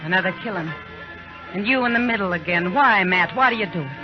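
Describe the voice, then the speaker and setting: A woman's voice: medium pitch, lively intonation, fast talker, close to an everyday voice. Actress as a worried, tender western saloon woman. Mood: concerned. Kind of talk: 1950s radio western acting. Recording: muffled old radio recording with music.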